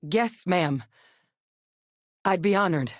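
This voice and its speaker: An actress voicing a composed female military xenoweapons scientist in a video game, measured and professional. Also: on the low side, lively intonation, dry recording, clean studio sound. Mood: calm. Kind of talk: game voice lines. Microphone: studio mic.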